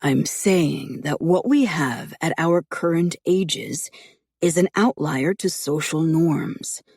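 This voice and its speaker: A woman voicing a calm, teasing man in his late twenties who talks in a measured, reasoning way. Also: fairly high pitch, vocal fry, husky, no room sound, clean studio sound, full character voice. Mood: earnest. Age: 20s.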